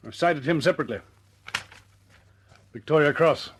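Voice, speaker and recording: Man acting senior British military commander, radio drama, understated, measured, higher-pitched male voice, light background noise and clicks. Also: natural voice. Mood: grave, businesslike. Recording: noisy old radio recording with clicks.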